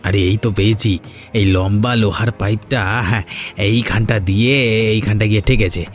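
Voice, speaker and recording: A man's voice: lowish voice, steady tone, a little fast, soft background music, lightly acted. A man voicing a young, sly thief in a cartoon, the farmer's wayward son, muttering his schemes to himself. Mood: scheming. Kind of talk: cartoon dubbing.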